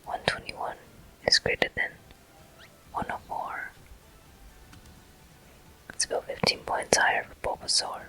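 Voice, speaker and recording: A person whispering ASMR game commentary in a deliberate manner, pausing between short phrases. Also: pushed and bright, rough recording. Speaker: gameplay host.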